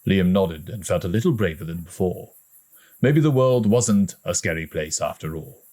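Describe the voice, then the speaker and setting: A man narrates a scripted story in a very low voice. His delivery is dramatic and expressive, with lively swings in pitch. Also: moderate pace. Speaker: audiobook reader.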